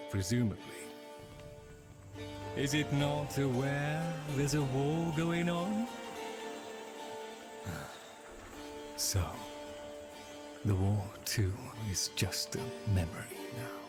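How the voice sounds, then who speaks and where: An actor playing a formal, bitter construct left behind in a video game, haughty and halting, with a melancholy air. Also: male voice, medium pitch, animated. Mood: disdainful. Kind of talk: scripted video game character acting. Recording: very loud music over voice.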